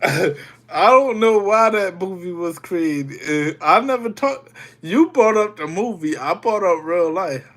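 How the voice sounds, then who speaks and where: A man's voice: sits high, expressive, slow pace, fairly bright tone. Man chatting live while over a cold. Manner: loose, rambling, greets chat by name. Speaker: livestreamer. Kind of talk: casual livestream chat with viewers.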